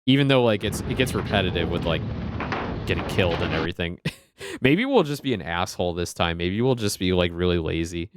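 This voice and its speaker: A male streamer gives relaxed, joking commentary as he plays, swearing now and then and repeating the game's lines. His voice is very deep. The audio has a clear hiss behind it. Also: a little fast. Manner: laid-back, joking, swears, echoes game lines. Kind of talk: casual gaming commentary.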